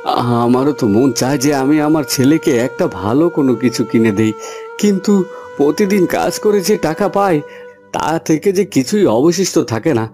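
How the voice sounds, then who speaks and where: A man's voice: a little high, expressive, moderate pace, close to an everyday voice. A man voicing a humble, hesitant poor farm labourer and father in a fairy-tale cartoon. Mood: worried, resigned. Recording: music under the voice.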